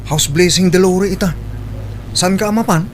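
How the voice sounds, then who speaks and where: A man's voice: high-pitched, moderate pace, soft background music, close to an everyday voice. A man playing an easygoing working husband talking casually to his wife in a family radio drama. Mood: eager. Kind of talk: Ilocano radio-style family drama acting. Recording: faint hum behind the voice.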